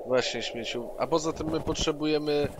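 A man's voice: fairly high pitch, moderate pace. A man improvising in-character dialogue as a player in an online tabletop role-playing session. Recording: online session with loud background noise.

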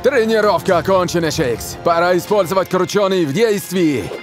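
A man voicing a brisk football team captain in a cartoon. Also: sits high, lightly acted. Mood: commanding. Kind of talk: cartoon dub acting. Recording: music behind the voice.

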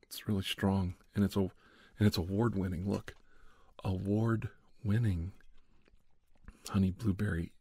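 Low, husky man, halting and defensive. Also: fairly even intonation, mellow, dry recording, studio quality. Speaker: video host. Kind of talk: comedic roleplay monologue to camera.

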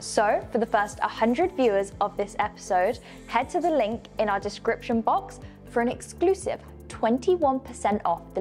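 A young woman presents a house tour in a polished, upbeat way. Her voice sits at an average pitch. Light music plays softly underneath. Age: young adult. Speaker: presenter of a luxury home tour show. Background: faint music.

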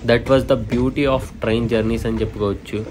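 A man's voice: fairly even intonation, a little slow, music in the background. A man with a Telugu accent chatting as a travel vlogger inside a moving train, explaining stations as he goes. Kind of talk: casual travel vlog commentary. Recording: train rumble in background.

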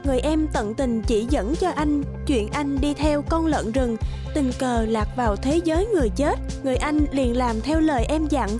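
Female storyteller for a folk-tale cartoon, scripted narration with clear music underneath. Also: high voice, moderate pace.

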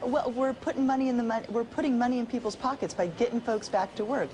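A woman's voice: a little high, fast, warm tone. A woman in her thirties, a remote TV guest, explaining economic policy fluently and upbeat. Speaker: think-tank economist guest. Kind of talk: live TV interview. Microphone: remote studio camera.

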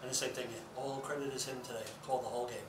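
A man's voice: mid-range voice, flat delivery, racing, crowd noise. A middle-aged man, a baseball coach, answering questions at a post-game press conference. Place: indoor press conference room at a table. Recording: echoey, distant mic, loud room noise.

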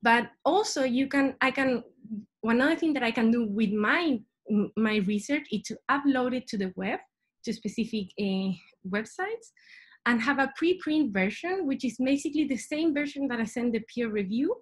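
A young woman with a Chilean Spanish accent presents science informally. Her voice sits a little high. She speaks as a graduate student explaining research over a webcam call from a room at home. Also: animated, moderate pace. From Santiago, Chile. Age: young adult. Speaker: neuroscience PhD student, presenter. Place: small indoor room at home. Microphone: webcam video call.

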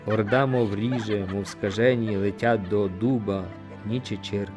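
Low-voiced man reciting poetry over music. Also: fairly even intonation, measured pace. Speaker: audiobook narrator. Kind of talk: expressive dramatic poetry recitation.

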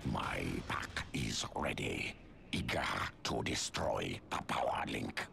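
An ancient alien predator growls from a cavernously deep register, thick with gravel. The primal pack leader of a video game speaks extremely slowly, in terse, hungry, guttural fragments. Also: male voice, animated, sharp tone, faint music behind, full character voice. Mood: eager, menacing. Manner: terse, broken predatory phrases. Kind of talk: video game character acting.

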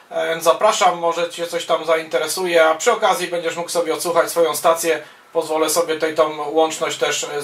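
A man's voice: fairly high pitch, fairly bright tone. A man making amateur radio calls and casual commentary, procedural, with hesitant phrasing, recorded from a distance in a small room. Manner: procedural, spells callsigns in phonetic words, hesitant between phrases. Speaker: amateur radio operator and YouTuber. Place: small wood-panelled radio room indoors. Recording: roomy, distant microphone sound.

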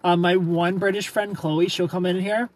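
A man's voice: high voice, level tone, moderate pace. A man in his thirties, sitting in a car and chatting playfully on a live stream while he reads viewers' comments and laughs often into a phone held close. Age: 30s. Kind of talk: casual live-stream chat. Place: parked car at night, neon lights.

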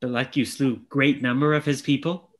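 A young man playing a grateful, eager gentleman traveller, earnest and polite, in a Shakespeare comedy reading. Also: medium pitch, fairly even intonation, normal speed, slight background noise, lightly acted. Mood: eager, curious. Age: young adult. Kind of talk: Shakespeare reading over video call. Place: indoor room, video call.

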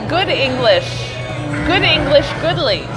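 American female vlogger, thirties, high voice, playful and chatty, loud music behind. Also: very animated, measured pace. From United States. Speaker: vlog host. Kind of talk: casual vlog chat, beer tasting. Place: indoor brewery taproom. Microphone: clip-on lapel mic. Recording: busy taproom with loud music and crowd. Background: music, bar crowd chatter.

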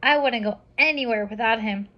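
A woman's voice: fairly high pitch, a little fast, dull audio, lightly acted. A woman voicing a firm, loyal little girl in a children's story. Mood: firm, attached.

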